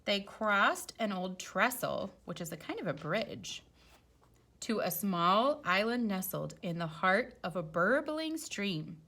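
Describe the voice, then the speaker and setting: A woman's voice: mid-range voice, lively intonation, slow, pushed and bright, a little hiss or hum. A woman teacher reading a children's storybook aloud expressively, with chatty explanatory asides for young listeners.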